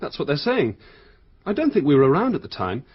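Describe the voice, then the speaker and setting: Radio drama casting: teenage student, down-to-earth, blunt, sceptical, husky, slightly dull lo-fi sound. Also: male voice, on the high side, wildly swooping pitch, brisk, exaggerated voice. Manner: informal, matter-of-fact. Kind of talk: radio mystery drama acting.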